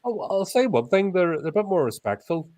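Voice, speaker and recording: A man's voice: high voice, big pitch swings, a little fast, a little mellow. A man, co-hosting a podcast, with wry, jokey banter in casual film chat. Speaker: podcast co-host.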